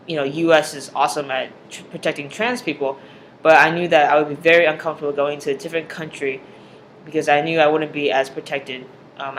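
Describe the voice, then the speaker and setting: This is a young man whose speaking voice sits somewhat high for a man. He talks earnestly, often pausing on fillers and restarting his sentences. A faint hiss of noise runs underneath his voice. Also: fairly bright tone, low-quality recording. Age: young adult. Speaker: vlogger. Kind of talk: personal video diary.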